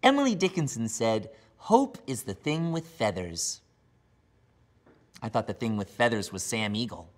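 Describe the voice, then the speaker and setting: A man voicing a nervous, wisecracking puppet in a comedy monologue, with a deliberate, theatrical delivery. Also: lowish voice, big pitch swings. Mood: wry.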